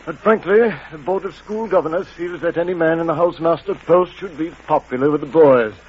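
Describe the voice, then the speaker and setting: Actor as formal headmaster, dull old radio sound. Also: male voice, on the high side, brisk, natural voice. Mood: awkward, formal. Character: formal, reserved school headmaster, politely firm. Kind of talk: old radio drama acting. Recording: dull, faintly noisy vintage radio recording.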